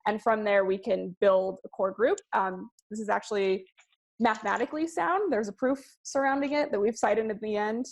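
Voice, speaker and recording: A woman giving a presentation on research methods, speaking in a measured way with frequent ums and slowing down to stress key words. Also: mid-range voice. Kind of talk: presentation to a class.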